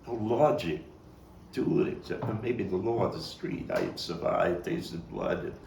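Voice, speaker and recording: A man performing a stage monologue as a haunted Brooklyn man confessing a boyhood killing, halting, brooding, bitter and anguished. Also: on the low side, vocal fry, darkish tone. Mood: tormented, remorseful. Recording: roomy, distant mic, faint noise.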